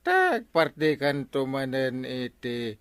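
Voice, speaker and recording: Swooping, hesitant Ilocano village buddy. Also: male voice, mid-range voice, huge pitch swings, slow, a bit bright, smooth, no room sound, heavily acted. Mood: eager, hesitant. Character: hesitant, food-loving village buddy in comedy. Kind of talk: Ilocano radio comedy drama acting.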